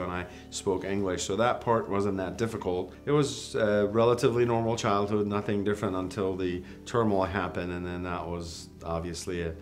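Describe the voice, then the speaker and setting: A man in his forties from Iran, an orthopedic surgeon, speaking in a measured, earnest way about his work and life, with occasional fillers. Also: deep voice. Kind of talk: calm, reflective first-person profile talk. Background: faint guitar music.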